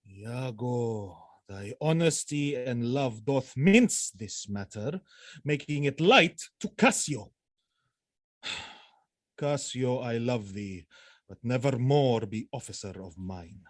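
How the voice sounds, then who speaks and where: Commanding stern general in shakespeare audio play, slow n weighty, voice swings all over. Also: male voice, mid-range voice, expressive. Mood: stern regret. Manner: declamatory, weighty, deliberate. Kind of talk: Shakespearean audio drama acting.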